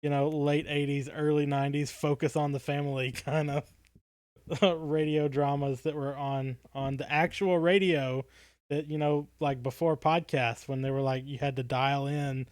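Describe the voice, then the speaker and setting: A man's voice: on the high side, level tone, normal speed, a little mellow, no room sound, clean studio sound. A man around his thirties co-hosting a casual livestream chat, rambling along in a jokey, tongue-in-cheek way. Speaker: podcast co-host.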